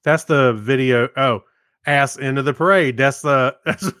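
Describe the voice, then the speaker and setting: A man chats informally as co-host of a podcast. He is relaxed and inclined to joke, with a mid-range male pitch. The recording is dry, free of room echo. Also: a little slow, clear, resonant tone. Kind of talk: casual chat.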